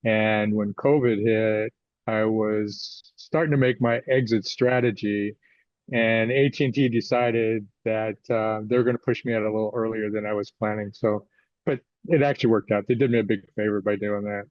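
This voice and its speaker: A man's voice: on the low side, steady tone, normal speed, no room sound. American man in his sixties, slightly muffled recording. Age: 60s. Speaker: guest author. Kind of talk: conversational interview. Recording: slightly dull, lo-fi audio.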